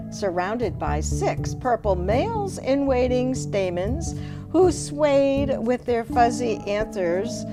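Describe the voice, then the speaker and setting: A woman hosting a poetry program, reading verse expressively and deliberately, and chatting casually between pieces. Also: mid-range voice. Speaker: host and poet.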